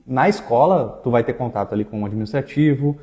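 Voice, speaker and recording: Mellow southern Brazilian man, mid pitch. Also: fast. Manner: colloquial, uses slang and frequent tags. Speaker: exchange agency staff member co-hosting a talk. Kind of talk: casual conversation, personal anecdotes.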